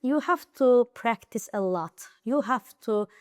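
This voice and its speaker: Young woman guest with an Azerbaijani accent, earnest and advising, smooth resonant voice, fairly high. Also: lively intonation, a little slow, dry recording, studio quality. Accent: Azerbaijani, Nakhchivan. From Azerbaijan, Nakhchivan region. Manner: earnest and advising, with many fillers and restarts. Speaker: interview guest, IELTS high scorer. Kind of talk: casual interview conversation.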